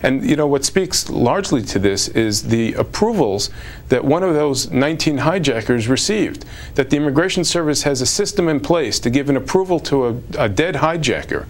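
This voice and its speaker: A man speaking as an immigration lawyer in a TV interview, measured and formal. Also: mid-range voice, expressive.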